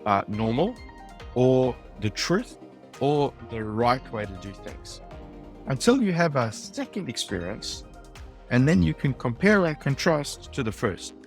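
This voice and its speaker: A man with a South African accent, a design leader speaking as a podcast guest in a thoughtful, measured way. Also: mid-range voice, big pitch swings, vocal fry, faint music behind. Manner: thoughtful, measured, some ums and uhs. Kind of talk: podcast interview.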